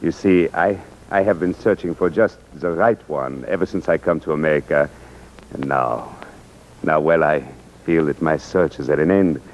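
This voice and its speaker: Deep-voiced, courteous Frenchman in radio comedy. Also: moderate pace. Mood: earnest. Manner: hesitant, polite, slips into French phrases. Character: courteous, flustered Frenchman visiting America, earnest and naive. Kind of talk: 1940s-50s radio comedy acting. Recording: dull, noisy old radio recording.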